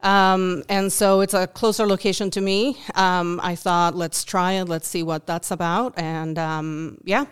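Uruguayan woman, native Spanish speaker, podcast guest; lowish, very clear voice; thoughtful, ums and restarts. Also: fairly even intonation, unhurried, few pauses. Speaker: guest, food bank volunteer. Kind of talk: podcast interview.

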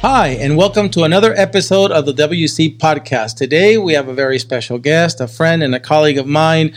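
A man hosting a podcast, interviewing casually and conversationally, often repeating words as he forms his questions. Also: fairly high pitch. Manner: halting, repeats words while forming questions. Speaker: podcast host.

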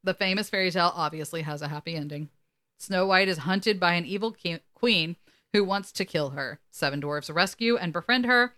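Woman, slightly low voice, casual podcast co-host, reading aloud, wry asides. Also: a little fast, bright, forceful tone.

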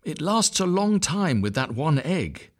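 A worried mother duck in a children's storybook, chirping high and anxious as she fusses over her brood, put on by a man. Her voice swings widely and carries a husky, breathy edge. It is gentle storytelling, not a cartoon shriek. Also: big pitch swings, unhurried. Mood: fretting. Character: fretful mother duck hatching eggs.

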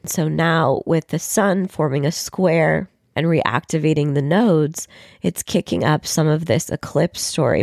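A low-pitched woman whose hoarse voice sounds gravelly and strained. She talks through a daily astrology podcast in a chatty, informal way. The recording is close and dry. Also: darkish tone, no echo. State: hoarse, losing her voice. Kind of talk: solo podcast horoscope reading.

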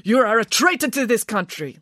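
A woman's voice: mid-range voice, very animated, fairly quick, a bit bright, no room sound, clean studio sound, voice pushed up. A woman voicing a pompous, indignant French male museum director in a melodramatic, sarcastic manner for a story. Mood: furious.

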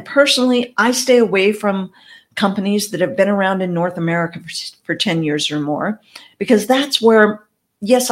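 A woman with English as her first language, giving direct, conversational advice as a network marketing coach. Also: medium pitch. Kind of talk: advice talk to camera.

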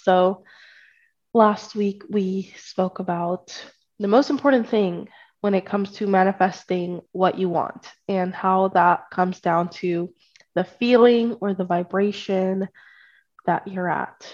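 A woman's voice: mid-range voice, slow pace, darkish tone. A woman hosting her own podcast, talking casually while stuffy and congested from a cold, often checking in with "right?" and "okay?". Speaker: podcast host and spiritual life coach. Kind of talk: casual solo podcast talk. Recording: dull lo-fi sound.

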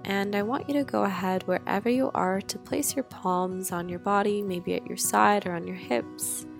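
A woman leading a guided meditation in a soothing, gentle way, with drawn-out phrases and pauses. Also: medium pitch, fairly even intonation, gravelly. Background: guitar music.

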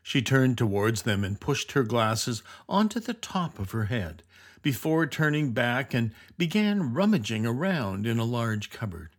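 A man's voice: medium pitch, big pitch swings, unhurried. A man reading scripted storybook narration for a children's audio drama.

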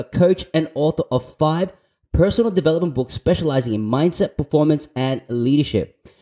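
A man's voice: a little high, dark tone. A man from Australia hosting a podcast interview, enthusiastic and prone to restarting his sentences. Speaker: podcast host.

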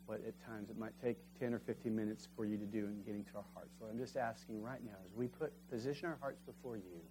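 Fervent low-voiced male pastor preaching. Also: fairly even intonation, fast, background noise. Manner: fervent, rising to emphatic peaks then dropping to quiet prayer. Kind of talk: sermon and closing prayer. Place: church sanctuary.

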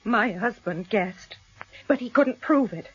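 Humble country wife, distressed, earnest, husky, halting, radio drama, dull sound. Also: female voice, on the high side, expressive, full character voice. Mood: resolute. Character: brave, distressed, humble farmer's wife, desperate and lonely. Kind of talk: BBC radio drama acting. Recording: dull archive radio recording.

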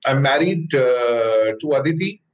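A middle-aged man with an Indian accent, a business leader answering interview questions in a measured way, often pausing with "uh". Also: medium pitch, animated, dull audio. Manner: thoughtful, with frequent "uh" pauses.

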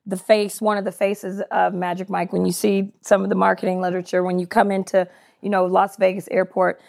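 A woman's voice: medium pitch, little pitch movement, fairly quick, gravelly, a little mellow. Friendly woman podcast co-host. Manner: friendly, complimentary questioning. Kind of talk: casual interview chat.

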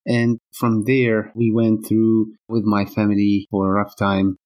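A man's voice: fairly low pitch, monotone, measured pace, a little mellow. A middle-aged man from Syria, a physician guest answering interview questions in a hesitant way with many fillers. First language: Arabic.

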